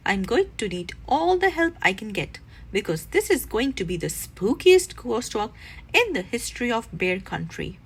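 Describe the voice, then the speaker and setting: Boisterous papa bear for a storybook, acted by a woman: emphatic, hammy, very animated, slightly husky. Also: a little high, moderate pace, heavily acted. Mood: eager, booming.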